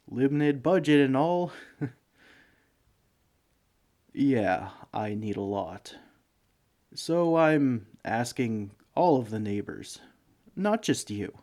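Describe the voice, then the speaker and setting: A man acts out a broke, bumbling young male villain from next door in a comedy audio roleplay. He talks slowly, with pitch that swings widely, rambling sheepishly through hesitant asides as he angles for favors. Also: a little mellow. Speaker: roleplay voice actor. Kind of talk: scripted comedic audio roleplay, one side of a conversation.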